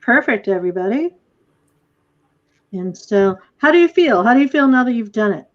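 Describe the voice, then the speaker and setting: A woman's voice: on the high side, animated, normal speed. A woman hosting an informal post-show talkback as director, effusive and hesitant, over a video call. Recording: dull, lo-fi video call audio.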